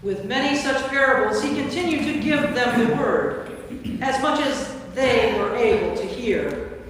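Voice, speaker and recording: A woman's voice: fairly high pitch, lively intonation, a little slow, slight background noise. Woman narrating scripture, echoing church. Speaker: narrator, priest. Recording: distant mic, very echoey church hall.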